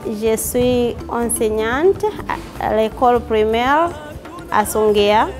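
Tanzanian teacher in her forties, slightly high voice, slow, faint music. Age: 40s. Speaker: primary school teacher. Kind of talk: interview answers.

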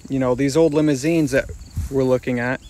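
A man narrating his walk through abandoned buildings and old vehicles, excited and talking straight to viewers, filmed on a handheld phone. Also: medium pitch, fairly quick, slight background noise. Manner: excited, keeps addressing viewers as "guys". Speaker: urban explorer vlogger. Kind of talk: walkthrough narration while exploring.

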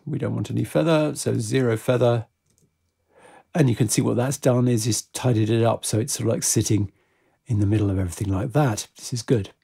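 Man, tutorial instructor, dark husky tone, step-by-step, methodical, unscripted. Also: mid-range voice. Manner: methodical, explaining each step as he works.

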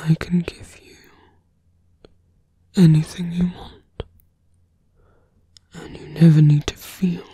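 A man voicing a seductive, smug fantasy villain in an ASMR roleplay, coaxing in a breathy, teasing whisper close to the mic. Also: fairly high pitch, warm tone, no echo, exaggerated voice. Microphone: close dry microphone.

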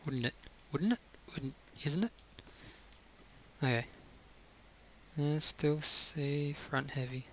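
A man with a bright, forward voice talks slowly through a casual game-building session. He mutters to himself as he works things out. A faint hiss and some clicking sit behind his voice. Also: on the high side, low-quality recording. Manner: muttering to himself, thinking aloud. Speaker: gaming video creator. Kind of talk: casual gameplay commentary while building.

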